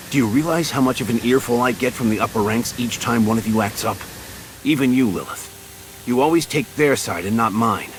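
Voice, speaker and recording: A man's voice: mid-range voice, slight background noise, close to an everyday voice, rough recording. A man playing a stern, long-suffering military commander in a video game voice drama, formal and exasperated in manner.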